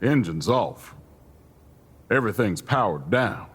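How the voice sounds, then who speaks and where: A man playing a calm, protective driver in an audio drama, with a folksy drawl and fond endearments. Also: on the low side, slow pace, slightly rough, full character voice. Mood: calm, watchful.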